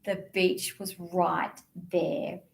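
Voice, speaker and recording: A woman's voice: fairly low pitch, flat delivery, extremely slow, vocal fry. A woman presenting a children's storytime, reading a picture book in a playful, theatrical, sing-song way. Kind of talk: animated picture-book reading and action songs for young children.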